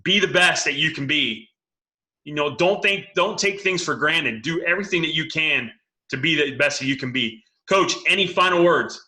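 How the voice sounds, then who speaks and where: A man hosting a casual interview, speaking earnestly to viewers in a halting, repetitive way with emphatic appeals. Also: on the high side, lively intonation, sharp tone. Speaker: host, sports recruiting coach.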